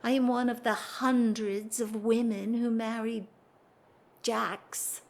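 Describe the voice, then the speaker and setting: High-voiced, drawling bored society wife. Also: female voice, little pitch movement, slow pace. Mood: wistful. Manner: theatrical, wry, drawling with emphatic swells. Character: restless, bored aristocratic wife confessing candidly. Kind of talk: period drawing-room audio drama acting.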